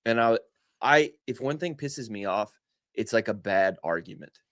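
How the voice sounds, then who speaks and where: A man's voice: lowish voice, lively intonation, normal speed, a bit bright, no echo, clean studio sound. A married man co-hosting a podcast, wry and teasing in casual chat, keen on a good argument. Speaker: podcast co-host.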